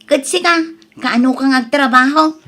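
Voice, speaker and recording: A woman's voice: high-pitched, normal speed, sharp tone. A woman acting a nagging, pleading village wife and mother short of money in an Ilocano comedy radio drama, with an Ilocano accent. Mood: nagging, exasperated.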